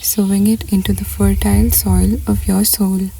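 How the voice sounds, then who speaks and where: A woman leading a scripted guided meditation in a soft, breathy voice that often nears a whisper, unhurried and measured with pauses between short phrases. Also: medium pitch, little pitch movement, poor audio.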